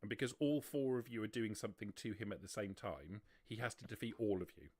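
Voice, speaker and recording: A man's voice: fairly low pitch, fast talker, a little mellow, dry recording. Man game master with a dry, close sound. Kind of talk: running a tabletop roleplaying livestream, casual rules talk.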